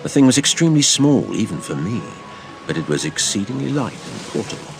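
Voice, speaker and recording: A man narrating a dramatised adventure story in a theatrical, measured storytelling manner. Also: lowish voice, very animated, gravelly, over music.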